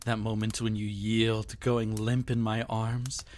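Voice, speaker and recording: A man's voice: lowish voice, flat delivery, slow, a little mellow, a little hiss or hum. A man playing a sadistic, possessive vampire in intimate ASMR roleplay, theatrical and taunting, often dropping into a whisper. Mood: hungry, savoring. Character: sadistic, possessive male vampire toying with a captive.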